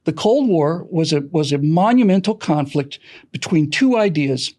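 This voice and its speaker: A man telling history with strong opinions in an interview, explaining emphatically and repeating key phrases deliberately. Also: on the high side, lively intonation, warm tone. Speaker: podcast creator being interviewed.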